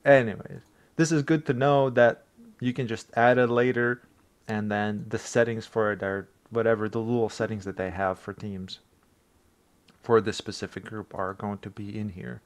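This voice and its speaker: A man from Bosnia with a Bosnian accent, English his second language, casually talking through a software tutorial for his channel with rambling asides and self-deprecating chuckles. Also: lowish voice, little pitch movement, normal speed. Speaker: tech tutorial creator. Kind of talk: casual screen-recorded tutorial.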